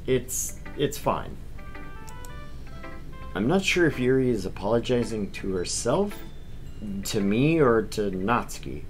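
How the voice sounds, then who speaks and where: Male stream host, informal and jokey, reading and chatting at medium pitch over background music. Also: very animated, slow, pauses often. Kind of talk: casual chat and visual novel read-aloud.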